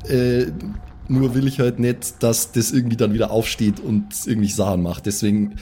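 A man's voice: medium pitch, little pitch movement, normal speed, mellow, husky, faint music behind. A man playing an improvised tabletop role-playing game, talking casually with the group. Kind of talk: casual improvised play and banter.

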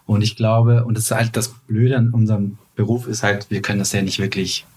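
A man's voice: on the low side, level tone, a little fast, darkish tone, breathy edge. A man in his thirties, not a native German speaker, chatting casually as a podcast guest and teasing with mock-dramatic exaggeration.

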